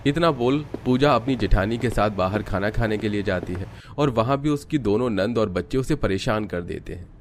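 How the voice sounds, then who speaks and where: Low-voiced man narrating a story. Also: normal speed, hardly any pauses, a little hiss or hum. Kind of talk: scripted Hindi story narration.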